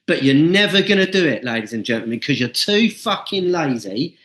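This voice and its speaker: A man's voice: a little high, big pitch swings, a little fast, a bit bright. A man co-hosting a podcast, ranting bluntly and swearing freely in casual chat. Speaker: podcast co-host. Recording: echoey room.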